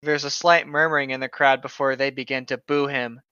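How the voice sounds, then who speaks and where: A man with a bright, forceful voice reads stories aloud as a co-host. He breaks off for playful, sarcastic asides. The sound is dry and a bit dull and lo-fi, like a modest home microphone. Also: mid-range voice, level tone, brisk, clean tone, dry recording. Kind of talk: reading a story aloud with joking asides.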